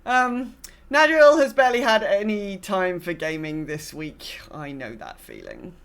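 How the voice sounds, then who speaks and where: A woman's voice: fairly low pitch, big pitch swings, unhurried, fairly bright tone. A woman co-hosting a podcast, chatting casually and reading out messages in a sympathetic way. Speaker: podcast co-host.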